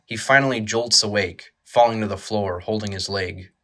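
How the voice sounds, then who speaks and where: Low, bright-voiced male horror narrator. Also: fairly even intonation, normal speed. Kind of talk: dramatic scripted narration of a horror audio drama.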